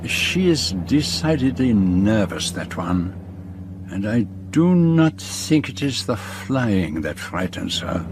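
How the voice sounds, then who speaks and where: An actor playing a fastidious, observant detective, narrating formally and precisely in a radio drama. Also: male voice, lowish voice, full character voice. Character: fastidious, observant foreign male detective narrating his journey. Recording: steady aircraft cabin noise behind.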